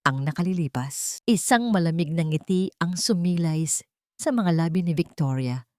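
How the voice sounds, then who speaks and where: Female storyteller for scripted romantic drama narration, lower-pitched, recorded close and dry. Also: expressive, moderate pace, warm tone, dry recording.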